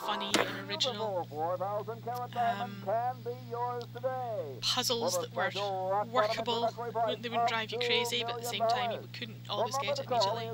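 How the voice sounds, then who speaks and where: High-voiced woman rambling over loud game music. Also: fairly even intonation, moderate pace, long pauses, poor audio. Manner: rambling, hesitant. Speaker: gaming video host. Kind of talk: casual let's play commentary. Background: game music and sound.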